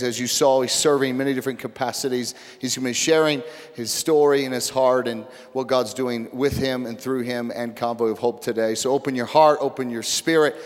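Earnest pastor in an echoing hall. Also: male voice, medium pitch. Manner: earnest, halting, with many restarts. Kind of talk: introducing a guest speaker. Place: large church sanctuary. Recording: long reverb of a large hall.

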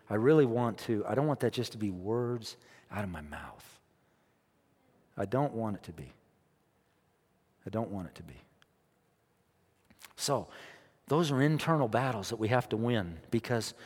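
A man preaching a sermon as pastor, emphatic, repeating his phrases and swinging between quiet and loud. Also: medium pitch, expressive, moderate pace, long pauses, slightly rough, big-room sound. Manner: emphatic, repeats phrases, rises and drops in loudness.

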